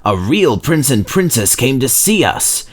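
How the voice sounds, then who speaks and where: A man's voice: medium pitch, very animated, unhurried, voice pushed up. A man voicing a proud, chatty male steam engine in a storybook, boasting dramatically about adventures.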